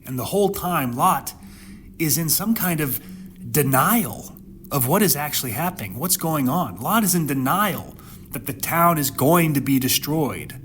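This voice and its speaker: A man hosting a Bible podcast, delivering dramatic scripted commentary and scripture reading with emphatic repetition, rhetorical questions and deliberate pauses. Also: mid-range voice, slight background noise. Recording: music playing under the voice.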